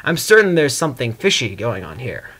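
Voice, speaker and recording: A man's voice: medium pitch, huge pitch swings, fairly quick, a bit bright, husky, full character voice. A man voicing a sardonic chaos spirit trapped in stone, in a dry, skeptical inner monologue for a story reading.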